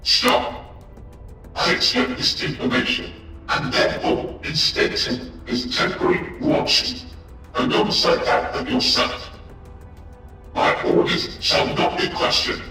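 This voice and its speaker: A man's voice: very high-pitched, bright, forceful tone, heavily acted. A man voicing an imperious, wrathful king of a warlike non-human race in a fan audio drama, declaiming his commands. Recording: very echoey, distant, faint music behind.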